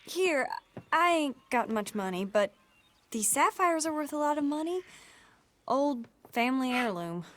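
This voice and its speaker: A woman's voice: high-pitched, lively intonation, measured pace. A woman playing a gracious, earnest young runaway heiress in a Western video game. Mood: grateful. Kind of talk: video game cutscene acting.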